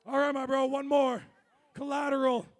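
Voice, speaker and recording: Hoarse high-voiced man hyping a crowd. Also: moderate pace. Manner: energetic, calls to the crowd. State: hoarse, losing his voice. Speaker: performer hosting a stage set. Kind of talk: hyping a live crowd between songs. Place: stage at a live community event. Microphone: handheld microphone.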